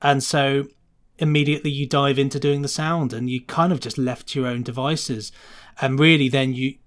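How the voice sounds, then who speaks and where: A man's voice: medium pitch, fairly even intonation, normal speed, a bit bright. A man with a British accent giving a casual podcast interview as a sound designer, explaining his working process and illustrating points with imagined quotes.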